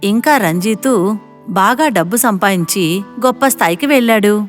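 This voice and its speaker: A woman's voice: medium pitch, lively intonation, normal speed, soft background music. A woman reading a scripted story as its narrator.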